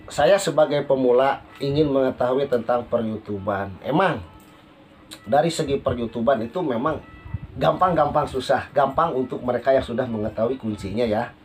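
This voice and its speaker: A man's voice: medium pitch, normal speed, soft background music. A man hosting a casual podcast interview in a polite manner, recorded as an amateur. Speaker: podcast host and beginner YouTuber. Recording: noisy amateur phone recording.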